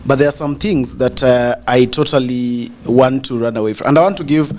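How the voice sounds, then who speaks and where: A man with a Kenyan accent, a panel guest making a deliberate, rhetorical political argument. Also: medium pitch, a little mellow. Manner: deliberate, rhetorical, addresses the host directly.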